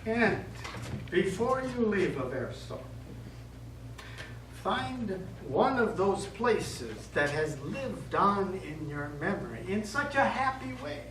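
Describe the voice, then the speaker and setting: Man acting a slow-speaking, gentle priest, distant echo. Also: high-pitched, very animated, full character voice. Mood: solemn counsel. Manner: measured, earnest, pastoral. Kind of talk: stage play acting. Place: theatre studio stage. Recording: echoey stage, distant mic, noisy.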